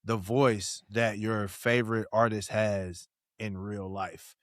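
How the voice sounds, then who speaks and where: A man's voice: lowish voice, flat delivery, slow pace, no room sound. A man co-hosting a podcast as a hip hop artist, talking in an emphatic, slangy way and often repeating words. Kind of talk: casual chat.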